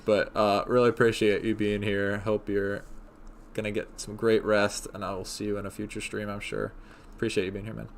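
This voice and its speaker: A man's voice: fairly low pitch, flat delivery, brisk, a little hiss or hum. A young man from Indiana hosting a livestream, laid-back and laughing often as he reads chat comments aloud. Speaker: livestream host.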